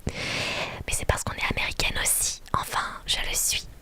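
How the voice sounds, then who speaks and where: A woman voicing sociable, curious young American women in a story game, in a bubbly, teasing, breathy whisper. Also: unhurried, lightly acted.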